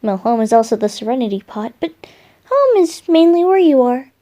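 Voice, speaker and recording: A woman voicing a gentle, lovestruck boyish male wind spirit in a romantic roleplay ASMR, speaking tenderly with soft murmurs and hesitant pauses. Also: high-pitched, sing-song. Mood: warm, romantic.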